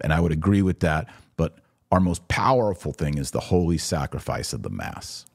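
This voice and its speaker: A man's voice: low voice, darkish tone. A man hosting a religious podcast, giving opinionated, emphatic commentary and answering viewer comments, often repeating phrases to drive a point home.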